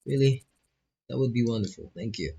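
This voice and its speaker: A man's voice: mid-range voice, expressive, fairly quick, creaky, warm tone, breathy edge, pitched above the performer's own voice. A man voicing a shy, polite, flustered new student in a visual novel. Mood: nervous, grateful. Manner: apologetic, hesitant.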